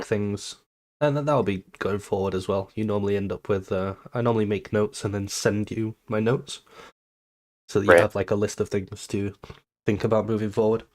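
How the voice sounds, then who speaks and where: A British man with a fairly low voice, a bit nasal because he is coming down with something. He talks through a coaching session in an easy, casual way and lays out each step in order. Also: darkish tone. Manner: methodical, explains step by step. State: a little sick, nasal.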